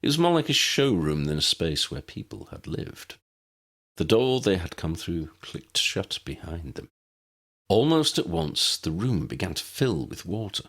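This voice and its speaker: A man narrates a story in a low, deep voice. His pitch moves around a great deal, giving the scripted prose an animated shape as he reads it like an audiobook. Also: measured pace, husky. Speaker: audiobook narrator reading own fiction.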